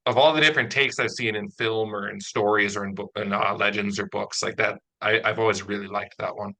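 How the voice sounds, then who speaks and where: A man's voice: fairly low pitch, steady tone, brisk, vocal fry, sharp tone, rough recording. A man chatting casually as he interviews someone, speaking as a writer and producer of audio drama.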